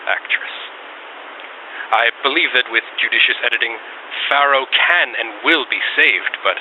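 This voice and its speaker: An actor playing a pompous, vain film director of the 1930s, dictating a diary with theatrical, deliberate phrasing. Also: male voice, on the low side, lightly acted. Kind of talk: 1930s dramatic diary monologue. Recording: noisy, hissy, muffled old radio-like recording.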